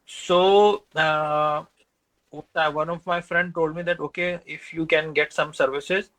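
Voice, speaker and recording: Man, client on a business call, halting, fillers, bright, slightly high. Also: steady tone, rough recording. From Pakistan. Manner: halting, repeats words, many fillers. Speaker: prospective client.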